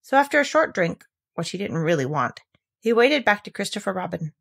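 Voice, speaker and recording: A woman reading a children's story aloud as an expressive narrator. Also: mid-range voice, brisk, gravelly, slightly rough.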